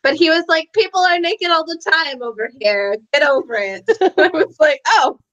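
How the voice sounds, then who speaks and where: High-voiced woman, giggly, unfiltered book-club chatter, dull lo-fi online audio. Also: normal speed, a bit bright. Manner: giggly, informal with swearing. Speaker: book discussion panelist. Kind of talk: casual animated chat about a novel. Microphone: video call.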